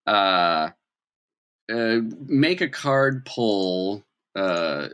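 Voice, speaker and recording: A man's voice: lowish voice, animated, extremely slow, fairly bright tone, clear, resonant tone. A man improvising narration as a tabletop game master, explaining things in a conversational way.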